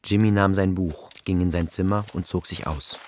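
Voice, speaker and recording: An actor playing the detached narrator of a literary radio play. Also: male voice, sits low, steady tone, moderate pace, darkish tone, a little hiss or hum, lightly acted. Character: detached male storyteller of a city novel. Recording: music behind the voice.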